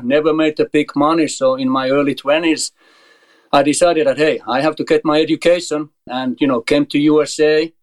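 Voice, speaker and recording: A middle-aged Finnish man speaking in English, his voice sitting a little high for a man. He talks in a halting way, with frequent fillers and repeated words. He is being interviewed about running a tennis training center. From Finland. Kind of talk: podcast interview.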